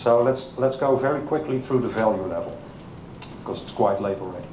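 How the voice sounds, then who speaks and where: A man with a Dutch accent giving a technical conference talk, halting with many filler sounds and questions to the audience. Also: a little mellow. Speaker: conference speaker. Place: conference room. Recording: echoey hall with low rumble.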